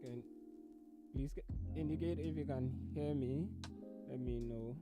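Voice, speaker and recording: A man's voice: medium pitch, a little slow. A man hosting a work-and-study livestream, greeting viewers by name and checking whether they can hear him. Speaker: livestream host. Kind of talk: casual livestream chat. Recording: music playing behind at first.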